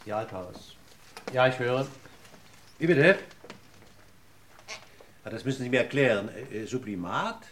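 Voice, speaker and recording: An actor playing a courteous, methodical police inspector in a German radio crime drama, questioning with formal, deliberate politeness. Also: male voice, medium pitch, animated, a bit bright. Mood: businesslike. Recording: noisy old radio play recording.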